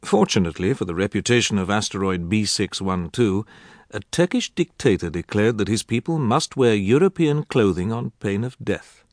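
A man's voice: on the low side, no echo. A man playing a reflective pilot who narrates his memories in a radio drama, confiding as a storyteller.

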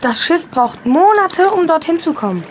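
A woman's voice: very high-pitched, big pitch swings, moderate pace, slight background noise. A young woman playing an eager, knowledgeable child in a children's audio drama. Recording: watery harbour ambience behind.

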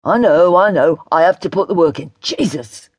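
A woman's voice: low-pitched, animated, normal speed, a little mellow, no echo, clean studio sound. A woman voicing a sarcastic, slangy, indignant British teenage boy in an audiobook, offhand and sardonic. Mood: exasperated.

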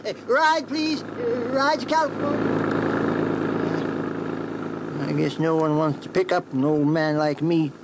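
Kindly old man, rural American accent, homespun, earnest, slightly high voice, radio drama, noisy backdrop. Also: takes it slowly, pauses often. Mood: hopeful, trusting. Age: older. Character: kindly, devout, trusting old man, folksy and poor. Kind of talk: children's radio drama acting.

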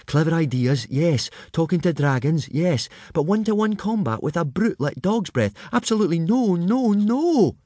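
A man's voice: on the high side, sing-song, moderate pace, hardly any pauses, mellow, no echo, clean studio sound, pitched above the performer's own voice. A man voicing an anxious, cautious boy warning his friend. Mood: fretful. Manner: fretful, pleading.

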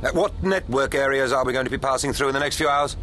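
A man's voice: mid-range voice, fast talker, natural voice. Guy playing grumpy weary space traveler, radio comedy, curt and bored, ship noise behind. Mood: impatient. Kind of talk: radio comedy drama acting.

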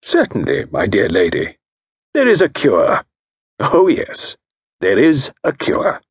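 A man voicing a calm, self-assured middle-aged consultant who speaks in a measured, soothing way. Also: medium pitch, slightly rough, no echo, studio quality, voice pushed up, full character voice.

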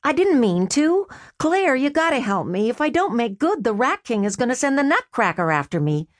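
A woman's voice: high voice, big pitch swings, moderate pace, dry recording, clean studio sound, pitched above the performer's own voice. A woman voicing a feckless grown man who gambles, talking in a nervous, pleading way. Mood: panicked.

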